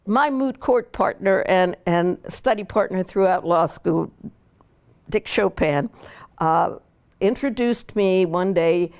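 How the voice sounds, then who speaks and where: An older woman with a somewhat low-pitched voice recounts her life story in an oral history interview. She speaks in a reflective, unhurried way, often pausing on fillers and restarting her sentences. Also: a little mellow. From Pittsburgh, Pennsylvania. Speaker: law professor interviewee.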